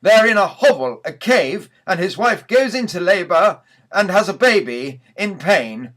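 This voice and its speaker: A man's voice: high-pitched, fairly bright tone. A man reading a scripted mock news bulletin as the newsreader in a church sketch.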